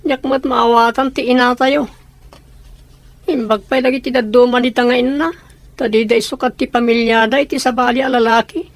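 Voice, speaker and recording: A woman playing a hurt, resigned boy, the older brother, glum and quietly bitter in a radio drama. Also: fairly high pitch, fairly even intonation, normal speed, no echo, lightly acted. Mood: resentful.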